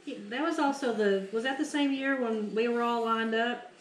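Middle-aged woman, lively family storyteller, bright-ish voice, roomy kitchen with chatter. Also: on the high side, normal speed, a little hiss or hum. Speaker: daughter recalling stories. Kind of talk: casual family chat. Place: home kitchen, indoors.

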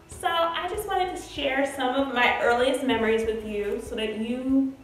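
A woman in her thirties telling personal stories casually to camera, in a chatty, rambling way. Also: fairly high pitch. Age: 30s. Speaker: vlogger. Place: bare-walled room indoors. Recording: very echoey room, music behind. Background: background music.

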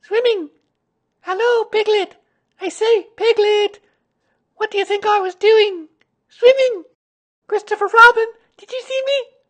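A performer voicing a small, proud, excitable young kangaroo boy in a squeaky, eager storybook voice. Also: unhurried, long pauses. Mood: excited, proud. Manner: breathless, repetitive, eager exclamations.

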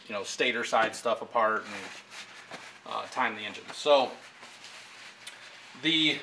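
A man in his thirties talks through a repair as he works, loose and chatty with plenty of fillers. His voice is bright and forward. A faint hum of shop noise sits underneath. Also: mid-range voice. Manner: casual, explanatory, frequent fillers while working. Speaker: engine shop technician. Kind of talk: hands-on how-to demonstration. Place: engine workshop with pegboard wall, indoors.

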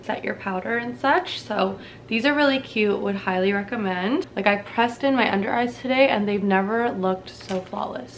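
A woman's voice: mid-range voice, slight background noise. A woman in her twenties from Canada, chatting casually to camera in a bubbly, slangy way in a small dim room. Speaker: beauty vlogger.

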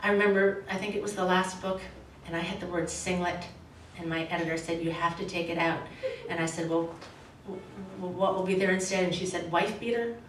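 A woman in her forties, a novelist, reading her prose in a measured, expressive way at a podium, then answering questions in a halting, self-correcting manner. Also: lowish voice. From grew up in Australia, Canada and the States. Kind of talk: literary reading, then casual Q&A. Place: small event room with a red curtain, indoors. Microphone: podium microphone, recorded from a far camera. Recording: echoey room, voice from a distance, faint hiss.

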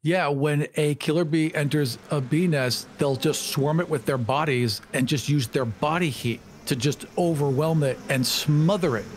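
Guy with a bit of a higher voice, casual podcast chat and game storytelling. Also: moderate pace, hardly any pauses. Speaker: podcast panelist and tabletop player.

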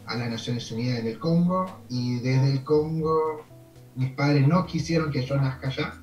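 A Canadian man whose first language is French talks with an Argentine accent, his voice sitting in a middle register. He tells long stories in a loose, rambling way, with many small pauses and fillers. The sound comes through an echoey room, and soft music plays behind him. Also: medium pitch, muffled recording. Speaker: immigration consultant. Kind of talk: interview over video call.

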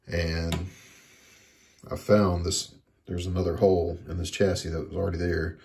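A man giving a casual hands-on repair how-to, talking haltingly, repeating words and trailing off. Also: deep voice, level tone, a little mellow, a little hiss or hum. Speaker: hobbyist demonstrating electronics repair. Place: indoors at a workbench.

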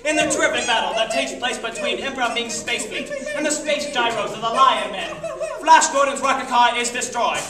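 A man's voice: very high-pitched, brisk, crowd noise. A young man delivering theatrical, declamatory narration in a radio-serial style. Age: young adult. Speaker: performer narrating. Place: classroom, indoors. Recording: echoey classroom, distant noisy camera.

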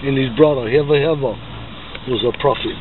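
A man's voice: mid-range voice, steady tone, a little fast. A man with a Hawaiian local accent, chatting casually as he shows places around him from inside a car. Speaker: vlogger. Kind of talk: casual chat while touring by car. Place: inside a car outdoors by the shore. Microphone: handheld camera in the car. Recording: tinny, band-limited phone video with loud car noise.

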